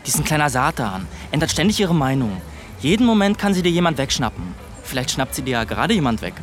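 A man's voice: medium pitch, sing-song, fast talker, a little hiss or hum, close to an everyday voice. An actor playing a brash, crude, swaggering teenage boy in a radio drama, with slangy, teasing speech. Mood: goading. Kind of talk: colloquial radio drama dialogue.

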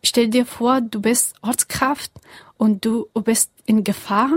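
Soft-spoken young woman from Afghanistan. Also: fairly high pitch, fairly even intonation, no room sound, clean studio sound. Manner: halting non-native German with pauses and fillers. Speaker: interviewee. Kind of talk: earnest interview answers.

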